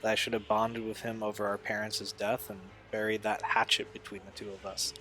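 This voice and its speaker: An actor playing a troubled young man in an audio drama, speaking in an earnest, halting, confessional way. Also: lowish voice, little pitch movement, pushed and bright, faint music behind. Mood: defensive, pained. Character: Steve, troubled young man recalling a painful family past.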